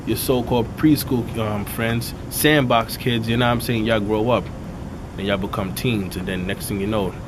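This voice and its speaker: A man with a raspy, dark voice, a rapper talking casually in an interview and peppering his talk with "you know what I'm saying". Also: lowish voice, fairly even intonation, normal speed, noisy background. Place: outdoors in a city neighborhood.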